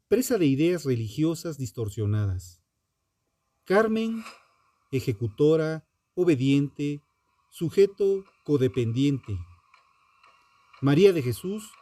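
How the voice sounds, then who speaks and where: Slow, mellow man narrating true crime. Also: on the high side. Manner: solemn, deliberate phrasing with dramatic pauses.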